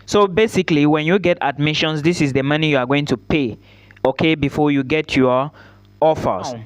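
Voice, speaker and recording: A man's voice: fairly high pitch, moderate pace, smooth. A man hosting his own channel, giving emphatic advice straight to the viewer, repeating key points and checking in with "okay?". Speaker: study-abroad YouTuber. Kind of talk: direct-to-camera advice vlog.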